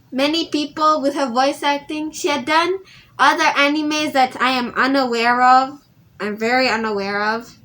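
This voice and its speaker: A woman's voice: high voice, sharp tone. A teenage girl talking casually to her viewers, rambling and often restarting her sentences. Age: teens. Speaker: vlogger. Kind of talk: casual vlog tribute.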